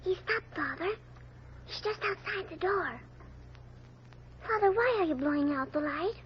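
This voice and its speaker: A woman's voice: very high-pitched, animated, unhurried. A woman playing an innocent, inquisitive little girl in an old radio drama, with a childlike, questioning way of talking. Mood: curious, uneasy. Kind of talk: 1930s radio drama acting. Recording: noisy, dull lo-fi old radio recording.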